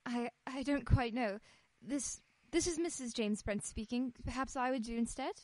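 A woman's voice: on the high side, normal speed, a little mellow, dry recording, natural voice. A woman acting an anxious but stubborn wife in an old-time radio play. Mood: worried, hesitant. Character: Pamela Brent, anxious but stubborn young English wife.

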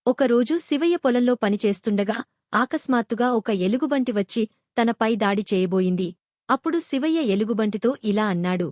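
A woman narrates a children's moral tale from a script. Her voice sits a little high. The recording is very dry, with no room echo. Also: expressive, fairly quick, a little mellow.

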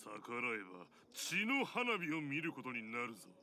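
A man playing a pompous, blustering hulking demon villain with a gravelly, bombastic delivery in an anime game. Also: medium pitch, wildly swooping pitch, normal speed, slight background noise, exaggerated voice. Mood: menacing. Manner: bombastic threats and battle shouts. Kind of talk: Japanese anime visual novel voice acting.

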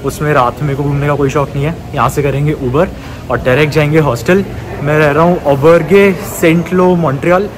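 A man's voice: fairly high pitch, fairly even intonation, brisk, over music. A man in his early twenties with an Indian accent chatting casually as a travel vlogger, mixing in English words. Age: early 20s. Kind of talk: casual vlog chat. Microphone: phone at arm's length.

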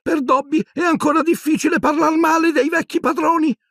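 A man voicing an eager, loyal little male house-elf with a squeaky voice in an audiobook. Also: steady tone, a little fast, fairly bright tone, dry recording, studio quality.

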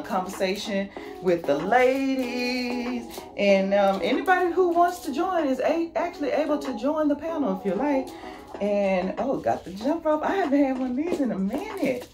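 A woman's voice: fairly high pitch, animated, moderate pace. A woman in her forties chatting upbeat and casually while unboxing fitness gear in her small bedroom, talking to a camera a few feet away. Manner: chatty and upbeat, with laughs and exclamations. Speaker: fitness YouTuber. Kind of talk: casual product unboxing vlog. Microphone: camera mic at a few feet. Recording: music playing under the voice. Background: music.

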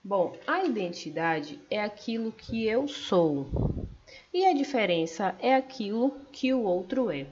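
A woman's voice: mid-range voice, very animated, a little slow, noisy background. A Brazilian woman student giving a class presentation over slides, explaining step by step with some hesitant fillers. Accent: Brazilian Portuguese. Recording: dull lo-fi recording.

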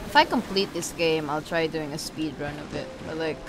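A woman's voice: deep voice, normal speed, noisy background. A woman giving casual, sweary let's play commentary as a game streamer, reacting aloud in an informal way. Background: video game music.

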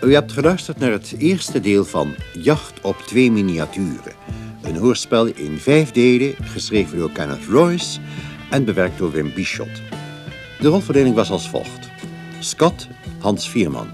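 A man's voice: lowish voice, big pitch swings, a little slow. A man announcing radio drama credits in a scripted, formal read. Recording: faint guitar music behind.

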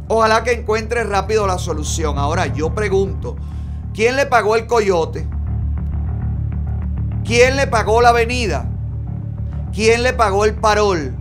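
Emphatic Cuban man hosting a gossip show. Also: sits high. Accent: Cuban Spanish. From Cuba. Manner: emphatic, sarcastic, gesturing. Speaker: host. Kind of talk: gossip commentary. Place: bright studio, seated in a red chair.

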